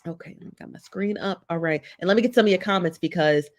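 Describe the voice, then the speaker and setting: A woman's voice: fairly low pitch, quick pace. A woman with a head cold hosting a casual livestream talk show, chatty, saying y'all and laughing often as she reads viewer comments. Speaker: host of a gossip talk show.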